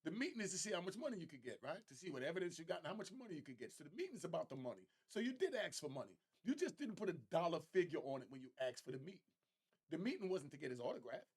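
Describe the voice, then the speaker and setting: A man's voice: on the high side, very animated, racing, darkish tone, slightly rough. A man giving casual, opinionated street-talk commentary in a blunt, argumentative, repetitive way. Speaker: host, reaction commentator.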